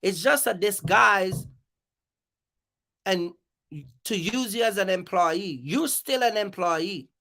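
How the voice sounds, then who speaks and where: A livestream host with a bright, forceful voice and a gravelly creak runs through an off-the-cuff rant. The delivery is emphatic and challenging, with phrases repeated to hammer each point. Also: mid-range voice, lively intonation, takes it slowly, long pauses. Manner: confrontational, challenging listeners. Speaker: live stream host.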